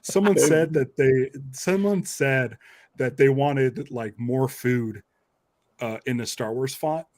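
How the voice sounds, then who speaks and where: A man's voice: medium pitch, slow, a little mellow, no echo. A man hosting a podcast in casual, conversational talk. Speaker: podcast host. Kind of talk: casual chat.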